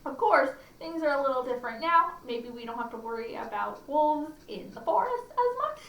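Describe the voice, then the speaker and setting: A woman's voice: high voice, big pitch swings, moderate pace, a bit bright. A woman hosting a children's storytime, reading aloud expressively and adding chatty, questioning asides to her listeners. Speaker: library storytime host. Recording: echoey room, slightly distant mic.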